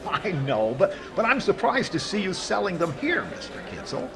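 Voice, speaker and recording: Man playing fussy, lively-pitched radio comedian. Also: normal speed, vocal fry, over music, exaggerated voice. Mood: bemused. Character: fussy, stingy male comedian, mild and bemused. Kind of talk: 1940s radio comedy acting. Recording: noisy, laughing audience behind.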